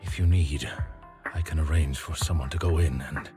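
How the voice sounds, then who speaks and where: A very courteous gentleman attendant from a video game. His voice is low and composed, with formal phrasing, under very loud music. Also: steady tone, normal speed. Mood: composed, frank.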